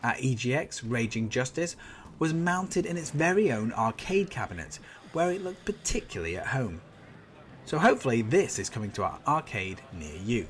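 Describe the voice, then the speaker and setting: A man's voice: mid-range voice, very animated, normal speed, slight background noise. A man with a stuffy nose from a cold, reading an enthusiastic, upbeat scripted game roundup as a channel host. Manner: enthusiastic, upbeat review delivery.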